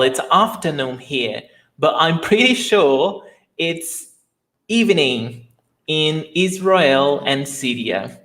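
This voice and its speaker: A man's voice: high voice, fairly bright tone. A man from Brazil with a Brazilian accent, a Portuguese teacher running a live online lesson, greeting viewers by name with enthusiasm.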